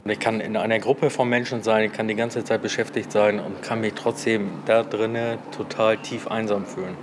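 Man, 40s, lowish voice, informal interview, clear ambient noise behind. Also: level tone, normal speed. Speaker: social worker and café organiser.

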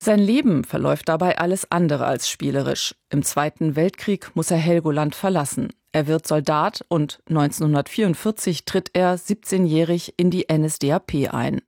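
A woman's voice: sits low, moderate pace, vocal fry, few pauses, darkish tone, no room sound. Woman narrating a radio feature, dry sound.

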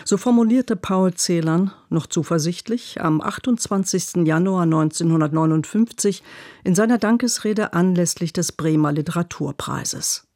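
A woman's voice: fairly low pitch, moderate pace, darkish tone, no room sound, clean studio sound. A woman narrating a scripted radio book review in a composed, literary manner. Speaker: literary critic.

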